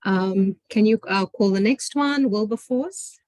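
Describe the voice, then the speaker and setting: Brisk woman moderating a video call. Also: mid-range voice, a little mellow, no room sound. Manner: brisk, friendly, calls on people. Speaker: meeting moderator. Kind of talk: moderating an online session.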